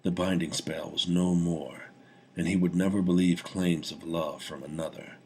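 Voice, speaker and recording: A man's voice: low-pitched, steady tone, moderate pace, a little mellow, slight background noise. A man reading his own fantasy story aloud as dramatic audiobook narration.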